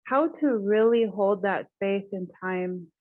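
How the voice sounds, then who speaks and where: Soft-spoken woman on a tinny call line. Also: mid-range voice, slow pace, no echo. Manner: hesitant, searching for words. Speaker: caller. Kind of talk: casual chat.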